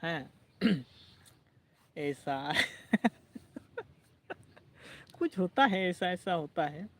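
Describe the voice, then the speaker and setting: A man's voice: a little high, animated, extremely slow. A man hosting a casual livestream, chatting, reading out viewer comments and laughing often. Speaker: livestream host.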